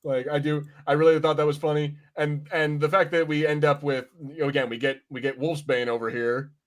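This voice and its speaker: A man's voice: on the high side, little pitch movement, fairly quick. A man hosting a casual review podcast, rambling informally and swearing freely as he chats.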